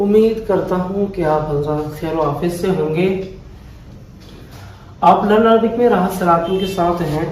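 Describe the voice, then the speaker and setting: A high-voiced man teaches a step-by-step grammar lesson, going back over each definition patiently. He sounds a little away from the mic in a very echoey room, with a faint hum behind him. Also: darkish tone. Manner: patient, repeats key definitions slowly. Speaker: Arabic teacher. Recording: echoey room heard from a distance.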